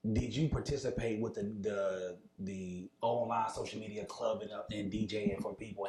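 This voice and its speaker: A man's voice: on the low side, a little mellow. An American man hosting a casual interview, asking questions and giving short acknowledgements. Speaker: interviewer, host. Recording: echoey room with clear background noise.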